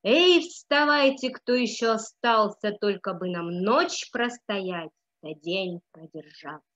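A woman's voice: medium pitch, lively intonation, fairly bright tone. A young woman voicing a worn-out horseman messenger from a storybook, desperately calling fighters to rise. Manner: drawn-out desperate calls. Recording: dull lo-fi webcam sound.

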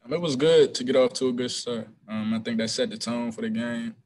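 A young man, a college basketball player, answering press questions after a game over a video call, relaxed and hesitant with many ums and restarts. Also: mid-range voice, a little fast. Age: young adult. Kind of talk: postgame press conference answers. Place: small room before a team backdrop. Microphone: video call webcam.

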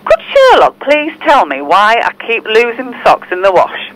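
A woman's voice: a little high, wildly swooping pitch, unhurried, a bit bright, full character voice, poor audio. An actress playing a friendly woman phoning in to a podcast in a scripted comedy audio drama. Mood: puzzled. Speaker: phone-in caller.

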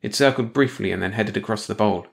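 A man's voice: fairly low pitch, fast, vocal fry. A man reading a scripted science fiction story as an audiobook narrator, in bedtime read-along style.

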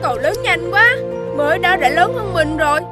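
A woman voicing a kind, earnest small boy in an animated folk tale, with a childlike, expressive delivery. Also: extremely high pitch, moderate pace. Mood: playful, affectionate. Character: small boy, kind, pleading, devoted to a young deer. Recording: music under the voice.

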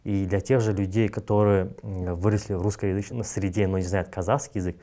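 A man's voice: low-pitched, fairly even intonation, a little fast, warm tone. A young man from Kazakhstan with a Kazakh accent, talking bluntly and casually with slang as a podcast guest on a lapel mic. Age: young adult. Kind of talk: casual studio talk.

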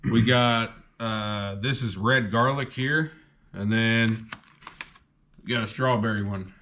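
A man's voice: fairly low pitch, slow pace, bright, forceful tone. A young man hosting a fishing channel, chatting casually and rambling a bit as he shows off gear. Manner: laid-back, rambling with fillers. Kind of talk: casual haul video chat.

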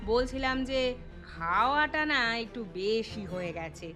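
Woman voicing a bright-voiced older cartoon mother-in-law. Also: on the high side, lively intonation, a little slow. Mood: sheepish, coaxing. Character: gluttonous older mother-in-law, sheepish and coaxing. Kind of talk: cartoon voice acting. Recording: music behind the voice.

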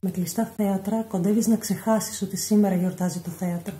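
Very mellow woman in a roomy space. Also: medium pitch, fairly even intonation, slight background noise. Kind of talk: scripted spoken message, reflective text. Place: small indoor room at home. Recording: roomy home phone recording.

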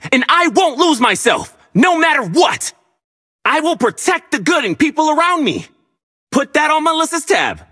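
Casting: youthful game brawler, high and very animated, cocky yet earnest, stubbornly determined, punchy. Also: male voice, full character voice. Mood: fired up. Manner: spirited, defiant, punchy delivery. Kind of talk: video game character voice lines.

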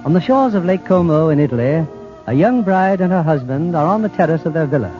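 Old time radio announcer man, higher voice, narrating, faint music. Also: animated, a little fast, mellow, dull audio. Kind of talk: scripted 1940s radio narration.

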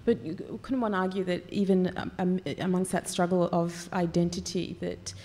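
A young woman moderating a stage panel, asking soft, unhurried questions. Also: lowish voice, little pitch movement, in a large hall, slight background noise. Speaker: host, moderator. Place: stage of a small indoor venue.

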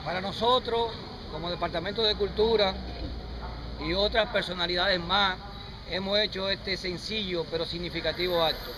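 A man's voice: high-pitched, steady tone. Earnest Dominican man speaking in a plaza. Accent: Dominican Spanish. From Dominican Republic. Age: middle-aged. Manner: earnest, measured, speaking unamplified to a crowd. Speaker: cultural official giving welcome speech. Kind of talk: outdoor ceremonial speech. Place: outdoor plaza in rain. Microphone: handheld camera nearby. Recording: noisy outdoor street recording. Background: street traffic noise.

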